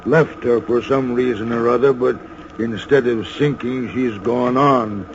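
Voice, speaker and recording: A male actor plays the gruff chief of a lighthouse in a radio horror play. He speaks slowly at a middling pitch, terse and in command. The old recording is dull and faintly hissy. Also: steady tone, lightly acted. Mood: brooding.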